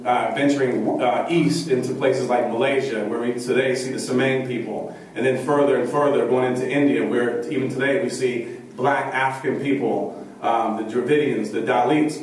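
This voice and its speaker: A man's voice: mid-range voice, a little mellow, slight background noise. A man giving a keynote lecture to medical students, emphatic and putting rhetorical questions to the audience. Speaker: keynote speaker. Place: large lecture hall. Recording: echoey hall, distant mic.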